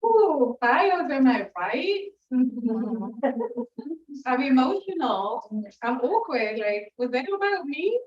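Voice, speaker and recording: A woman's voice: high-pitched, very animated, slow, long pauses. A woman from South Africa, a poet, chatting with an audience before her reading in an informal, giggly, emotional way. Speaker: poet reading at a literary event. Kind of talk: warm, chatty stage talk to an audience. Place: reading event room with audience. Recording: echoey room, far from mic, dull sound.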